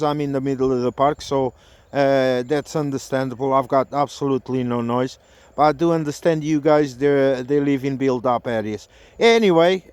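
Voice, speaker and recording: Guy doing cb radio calls, hesitant and stop-start, smooth clear voice, really dry sound. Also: mid-range voice, a little mellow, no echo. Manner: hesitant, halting, repeats himself. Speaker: CB radio operator testing an antenna. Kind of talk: radio contact chat. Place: outdoors in a park.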